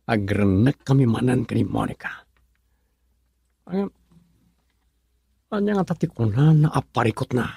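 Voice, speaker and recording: An actor playing an irritable, two-faced young husband in a radio drama. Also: male voice, medium pitch, sing-song, a little slow, vocal fry, long pauses, mellow, husky, no room sound, full character voice. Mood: smooth, furtive.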